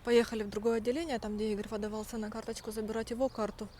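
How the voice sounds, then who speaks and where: A woman's voice: fairly high pitch, little pitch movement, racing, warm tone, slight background noise. A young woman talking casually to her viewers as a vlogger, telling everyday stories and acting out the dialogue she quotes. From Russian-speaking, living in Spain. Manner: chatty, storytelling, acts out quoted dialogue. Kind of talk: casual vlog narration.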